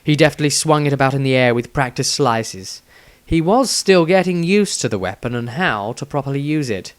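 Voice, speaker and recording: A man's voice: mid-range voice, animated, normal speed, smooth. A man reading his own fantasy story aloud as a scripted, dramatic narration with a theatrical delivery.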